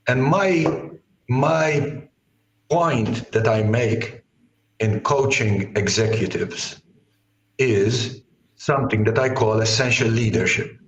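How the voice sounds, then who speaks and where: A man in his late sixties speaks as an interview guest in a mid-range voice that has partly given out. He explains things conversationally, often restarting and repeating words. The sound is roomy and echoey. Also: medium pitch. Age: 60s. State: partly lost voice. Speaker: guest, author and executive coach.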